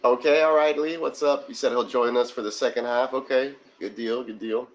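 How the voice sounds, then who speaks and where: A man co-hosting a casual sports watch-party livestream from his garage, chatty and quick to laugh. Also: medium pitch, steady tone, lo-fi sound. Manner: laughs often, rambling and chatty. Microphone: phone.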